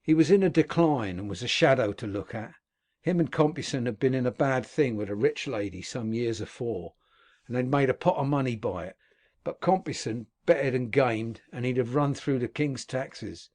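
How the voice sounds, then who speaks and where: A man playing a gruff old convict. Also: medium pitch, expressive, moderate pace, darkish tone, natural voice. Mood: grim recounting. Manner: earthy dialect speech, gruff storytelling. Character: rough old male convict telling his past. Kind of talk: audiobook character reading.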